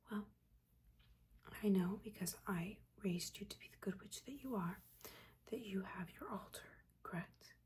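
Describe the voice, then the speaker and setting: A woman voicing a caring, judging witch mentor in a soft, breathy whisper, gently and haltingly giving guidance in an ASMR roleplay. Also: medium pitch, close to an everyday voice. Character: older witch mentor, motherly, judging yet caring teacher.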